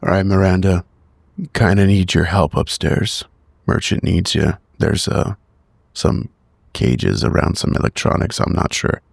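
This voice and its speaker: Very low, gravelly man. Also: lively intonation, a little slow, no echo, clean studio sound. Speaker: player in a tabletop game. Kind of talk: casual in-game roleplay.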